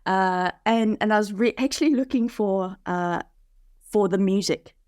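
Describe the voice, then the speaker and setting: A woman's voice: mid-range voice, unhurried, darkish tone, clear, resonant tone, dry recording, clean studio sound. A woman from New Zealand, a podcast guest, telling her story in casual conversation over a video call, with many fillers and restarts. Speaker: podcast guest, parish leader.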